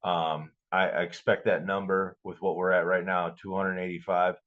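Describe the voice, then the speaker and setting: Male football podcast co-host, deep, halting and blunt, on a slightly dull lo-fi mic. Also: flat delivery. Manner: blunt, halting, repeats himself. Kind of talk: casual sports chat.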